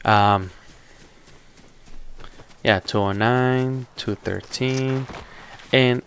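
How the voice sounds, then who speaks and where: A man with a slight accent giving relaxed, rambling commentary while streaming a game live, often restarting his sentences. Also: medium pitch, drawn-out words, a little hiss or hum. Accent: non-native English accent. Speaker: tech streamer.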